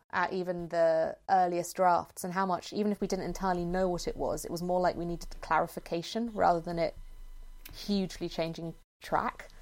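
A woman's voice: on the low side, darkish tone. A woman in casual, reflective conversation as a writer, phrasing things tentatively and repeating words as she thinks. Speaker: writer discussing her own storytelling project.

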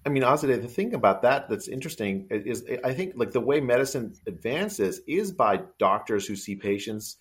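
A middle-aged man hosting a podcast interview, talking in a halting way with many restarts. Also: mid-range voice, very animated. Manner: halting, full of restarts and fillers. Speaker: podcast host, professor. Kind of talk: conversational interview.

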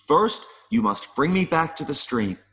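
Man playing a nervous, pleading male mayfly, swooping pitch. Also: big pitch swings, a bit bright. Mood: resigned, earnest. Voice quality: buzzing interjections between phrases. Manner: earnest, halting, pleading. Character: pleading adult male mayfly, nervous, vain, gently patient. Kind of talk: audio play acting.

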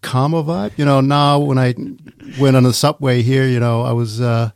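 A man's voice: medium pitch, little pitch movement, moderate pace, vocal fry, darkish tone, clean tone. A man from Denmark with a Danish accent, a guest author chatting with dry, self-deprecating humor. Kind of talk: casual chat.

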